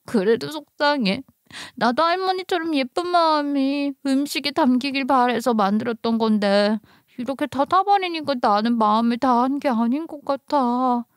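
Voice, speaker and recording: Storybook child played by a woman: small girl, raised pitch, sincere, wide-eyed and earnest. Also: moderate pace, dry recording, studio quality, natural voice. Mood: upset. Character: earnest, admiring little girl, eager young cook.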